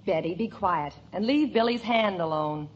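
A woman's voice: fairly low pitch, animated, normal speed, lightly acted. An actress playing a motherly middle-aged housewife, both soothing and scolding, in a 1950s radio sitcom. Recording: muffled, very noisy old radio recording.

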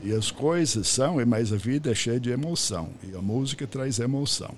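Older guy hosting a podcast, rambling casual chat, a bit of rumble in the background. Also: a little fast. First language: English. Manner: rambling, checks in with "tá?". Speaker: podcast host.

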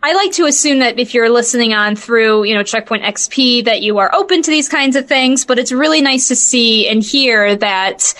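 Female co-host, high voice, informal and chatty, jokes around conversationally. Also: normal speed, hardly any pauses, sharp tone. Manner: playful, jokey, chatty. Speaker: podcast co-host. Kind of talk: casual chat.

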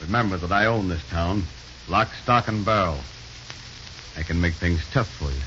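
Deep-voiced, domineering frontier boss. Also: male voice, moderate pace. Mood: threatening. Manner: curt, controlled, quietly menacing. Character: scheming, domineering boss who owns a frontier town. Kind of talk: 1930s radio acting. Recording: hissy, muffled 1930s radio recording.

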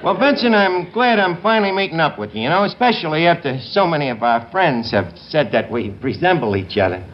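An actor playing a cocky, uneducated tavern manager in a 1950s radio comedy, with a New York accent and pompous, long-winded mangling of big words. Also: male voice, fairly high pitch, big pitch swings, fairly quick, highs cut off. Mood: chatty, smug. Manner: long-winded, pompous, mock-genteel malapropisms. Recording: noisy old radio broadcast, loud studio audience.

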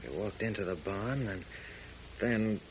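An actor playing a steady young male ace pilot hero in a 1940s radio drama, calm and clipped. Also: lowish voice, unhurried. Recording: noisy 1940s radio transcription.

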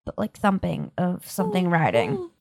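A woman's voice: fairly low pitch, wildly swooping pitch, moderate pace, creaky, heavy background noise. A woman narrating a tabletop game as game master, improvising in a casual, conversational way.

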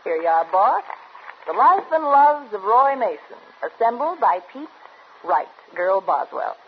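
An actress playing a smug, nosy young American secretary, a teasing, sing-song wisecracker in a 1950s radio drama. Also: female voice, fairly high pitch, big pitch swings, unhurried. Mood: gleeful, efficient. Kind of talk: 1950s radio drama comic sidekick acting. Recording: dull old radio recording, slight noise.

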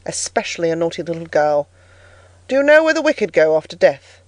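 A woman voicing a solemn, stern male clergyman who speaks slowly and preachily in an audiobook story. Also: sing-song, no room sound. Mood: stern, menacing. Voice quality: bass. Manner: solemn, slow, sermonizing. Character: solemn, stern, harsh elderly clergyman interrogating a child.

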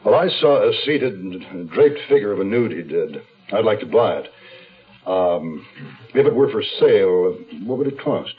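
Low-voiced, brooding noir fugitive. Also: male voice, lively intonation, husky, full character voice. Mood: polite, probing. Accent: American. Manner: brooding, deliberate, dramatic storytelling. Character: hunted, weary man on the run, narrating his own story. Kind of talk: 1970s radio mystery drama, first-person noir narration. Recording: lo-fi phone-like 1970s radio, faint music.

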